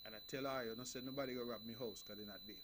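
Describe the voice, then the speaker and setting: A man's voice: mid-range voice, steady tone, racing, noisy background. A Nevisian man speaking as a politician on the radio, in an emphatic, repetitive style with a preaching cadence.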